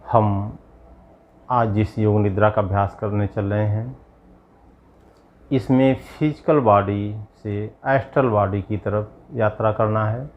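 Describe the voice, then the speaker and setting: A man guiding a yoga nidra meditation as a yogi, giving measured instructions in short, spaced phrases. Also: lowish voice, dull audio. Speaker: yogi leading guided meditation.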